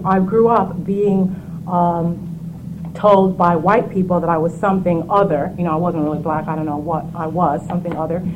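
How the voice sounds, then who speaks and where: A woman from the West Indies speaking thoughtfully in a panel discussion, with many pauses and ums. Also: mid-range voice, muffled recording. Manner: reflective, measured, with frequent ums. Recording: noisy room recording.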